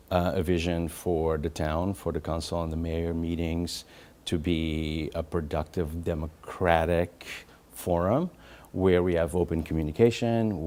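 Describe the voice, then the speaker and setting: A man from Portugal, a local councilman, giving earnest, measured interview answers. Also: low-pitched, warm tone. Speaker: local politician guest.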